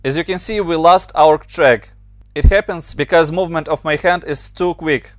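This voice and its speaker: Ukrainian-accented male tutor, a bit higher voice, very dry close mic, patient step-by-step walkthrough. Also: moderate pace, dry recording. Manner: plain, step-by-step instructions with slightly non-native grammar. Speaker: motion designer and tutorial maker. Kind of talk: screen-recorded software tutorial.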